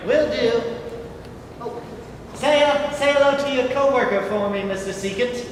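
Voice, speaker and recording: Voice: mid-range voice, normal speed, lightly acted. Eccentric young painter, played by an amateur stage actor, theatrically polite and cheeky, heard from far off in an echoing hall. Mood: cheerful, puzzled. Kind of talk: amateur stage play acting. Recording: echoey hall, distant mic, noisy.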